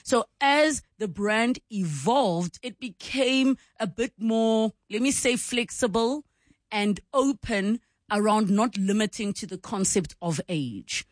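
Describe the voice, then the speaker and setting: A woman's voice: mid-range voice, takes it slowly, no room sound, studio quality. A woman, hosting a radio chat, chatty and laughing often as she asks her guests probing questions. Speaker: radio host. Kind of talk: casual on-air chat.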